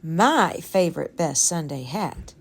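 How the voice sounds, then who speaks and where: Woman as an animated elderly great-great-aunt, reminiscing. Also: low-pitched, creaky, breathy edge, voice pushed down, full character voice. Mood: wistful. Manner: dramatic, savoring pauses and repetitions.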